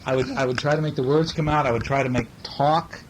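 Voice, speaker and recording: A middle-aged man, a father chatting and reading casually to a child in a gentle, playful way, often asking little questions. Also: medium pitch, steady tone, quick pace. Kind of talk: casual chat and bedtime story reading to a child. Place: at home, lying down beside a child. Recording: noisy amateur home recording.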